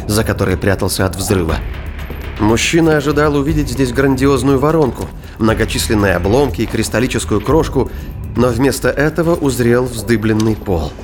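A man's voice: lowish voice, moderate pace. Male sci-fi audiobook narrator over music. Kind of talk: scripted dramatic audiobook narration. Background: clear music.

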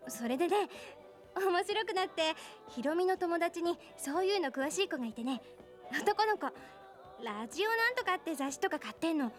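Very high-voiced chatty young woman. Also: expressive, normal speed, soft background music. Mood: excited gossip. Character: flighty, nosy young woman hooked on eavesdropping. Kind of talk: radio drama acting, casual conversational Japanese.

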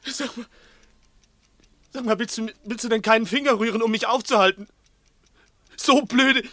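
A young crook in a radio crime play, high-voiced and sharp, swinging up and down as he blusters and threatens. He repeats himself nervously, and fear sits just under the bravado. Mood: desperate, resentful. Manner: agitated, stammering repetitions, blustering. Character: nervous young male crook, threatening yet easily frightened. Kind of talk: radio crime drama acting.

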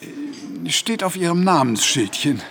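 An actor playing an excitable, fussy older pensioner in a German radio crime drama. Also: male voice, a little high, unhurried, exaggerated voice. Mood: bashful. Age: older, retired. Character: widowed male pensioner, excitable, fussy, awkwardly smitten.

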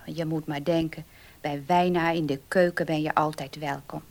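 A woman's voice: low voice, fairly even intonation, moderate pace, a little mellow. An actress playing a kindly, superstitious older kitchen maid in a radio play, motherly and concerned. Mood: caring, concerned. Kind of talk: Dutch radio play acting.

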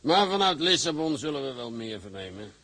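An actor playing a brisk, self-assured shipping boss with a husky voice in a period drama. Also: male voice, medium pitch, sing-song, fairly bright tone. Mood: businesslike.

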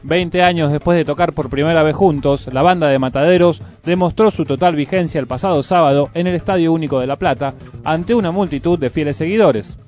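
A man's voice: fairly high pitch, a little fast, a little mellow. A man with a Rioplatense Argentine accent presenting a radio show, reading scripted music news and event listings. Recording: music playing behind the voice. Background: music bed.

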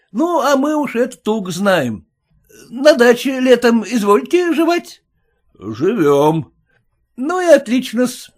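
A fawning, self-important footman with a high, bouncing voice that climbs on every boast, played by a man reading a story aloud. He chatters eagerly and ingratiatingly. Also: full character voice. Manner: ingratiating, adds servile "-с" to words. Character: fawning ambitious male footman, puffed-up.

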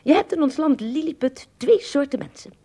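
A woman playing a proud, earnest tiny king of Lilliput in a Dutch radio play, confiding in a husky voice. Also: high-pitched, lively intonation, moderate pace, dark tone.

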